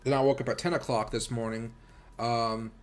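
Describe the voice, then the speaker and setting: A man's voice: mid-range voice, level tone, normal speed. An American man hosting a livestream with casual, rambling chat and commentary, speaking informally and directly to his viewers. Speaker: livestream host and crypto trader.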